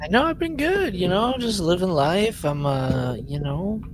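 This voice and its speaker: A man co-hosting a podcast in relaxed, informal chat, with a wry and joking way of talking. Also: sits high, a little slow, noisy background. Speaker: podcast co-host. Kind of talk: casual chat between friends.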